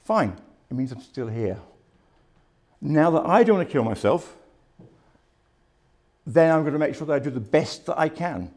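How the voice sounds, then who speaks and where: A man's voice: fairly high pitch, expressive, moderate pace, long pauses, a little mellow. A man in his fifties speaking candidly and earnestly about his own life to an audience at a live talk. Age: 50s. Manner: candid, plain-spoken, earnest. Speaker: speaker sharing lived experience. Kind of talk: personal testimony at a live talk.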